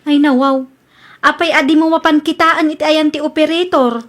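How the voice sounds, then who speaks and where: A fretful wife acted by a woman in an Ilocano radio drama. Her female voice is high, with an Ilocano accent, and she nags and worries over her driver husband's living. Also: steady tone, normal speed, close to an everyday voice. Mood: nagging.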